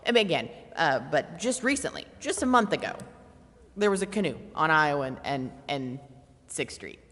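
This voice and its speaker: A woman in her forties speaking informally and haltingly at a public meeting. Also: deep voice, very animated, fairly bright tone. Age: 40s. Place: council chamber. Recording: reverberant hall.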